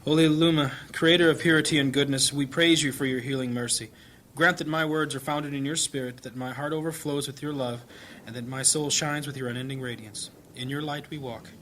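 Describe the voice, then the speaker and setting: A man acting a gentle, troubled priest and family man in a fantasy audio drama, earnest, measured and devout. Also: mid-range voice, steady tone, slight background noise, close to an everyday voice. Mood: prayerful. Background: music.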